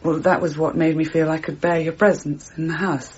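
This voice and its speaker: An actress playing a grieving, anxious British landlady in a radio drama, speaking haltingly as she searches for words. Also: deep voice, steady tone, slightly rough. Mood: hesitant, pleading. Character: grieving, anxious landlady, hesitant but insistent. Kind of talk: BBC radio drama acting. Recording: dull, hissy radio broadcast recording.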